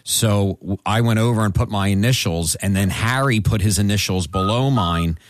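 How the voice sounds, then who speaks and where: A man's voice: lowish voice, normal speed, no echo. A middle-aged man hosting a talk show, telling stories in a chatty, self-mocking way with many restarts. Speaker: radio show host. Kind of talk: casual talk-show chat.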